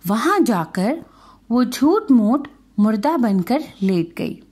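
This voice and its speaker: A woman's voice: fairly high pitch, sing-song, darkish tone, husky. A woman storyteller narrating a scripted folk tale for children.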